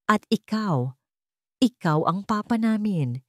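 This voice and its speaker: A woman's voice: medium pitch, animated, slow pace, a little mellow, no room sound, clean studio sound, pitched above the performer's own voice. A woman voicing a bold, serious seven-year-old boy in an audio drama.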